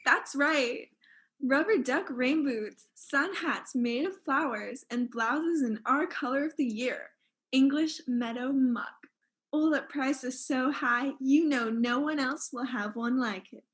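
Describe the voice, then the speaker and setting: An actress playing a haughty, self-adoring fashion mogul in a parody ad, with a theatrical delivery. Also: female voice, high-pitched, takes it slowly, fairly bright tone. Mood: haughty, gloating. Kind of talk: parody advertisement.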